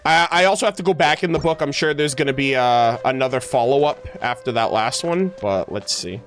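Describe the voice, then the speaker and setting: A man's voice: mid-range voice, brisk, fairly bright tone, soft background music. A man streaming a game and reacting casually, slangy and exclamatory, chatting to his viewers.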